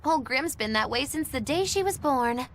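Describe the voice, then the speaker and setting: A woman playing a lively, caring young woman in a video game, expressive and a little theatrical. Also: sits high, normal speed, a little hiss or hum. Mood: admiring. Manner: expressive, animated. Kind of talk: animated video game dialogue.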